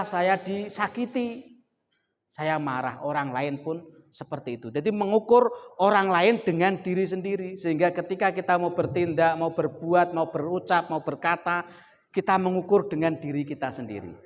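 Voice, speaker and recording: A man's voice: high voice, normal speed. A man with a Javanese accent preaching a sermon, repeating key words for emphasis and joking with the audience. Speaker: Islamic preacher. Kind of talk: sermon with comic story. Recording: echoey hall, faint noise.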